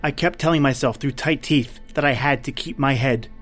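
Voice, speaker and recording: Radio play lead, male actor as a determined man on the run; mid-range voice, tense storytelling, soft music underneath. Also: medium pitch, normal speed, natural voice. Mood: tense, grave. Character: hunted man narrating his own ordeal, tense and determined. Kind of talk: dramatic first-person narration in old-time radio style. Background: background music.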